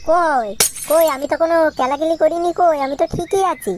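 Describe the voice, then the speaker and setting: A woman voicing a high-pitched brash cartoon boy. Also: fairly quick, a little mellow, a little hiss or hum. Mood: sheepish. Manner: brash, crude slangy talk. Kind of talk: comic cartoon dubbing.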